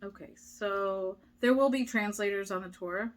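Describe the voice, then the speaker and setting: A woman's voice: medium pitch, a little slow, a crowd in the background. A young woman vlogger chatting casually, reading viewer questions aloud and answering with many fillers. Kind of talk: casual chat.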